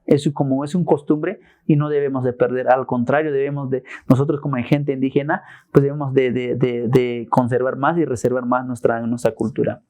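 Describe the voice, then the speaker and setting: A man's voice: medium pitch, level tone, a little fast, hardly any pauses, warm tone. Earnest man. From Tenejapa, Chiapas, Mexico. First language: Tzeltal. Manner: plain, earnest, short phrases. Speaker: former prisoner.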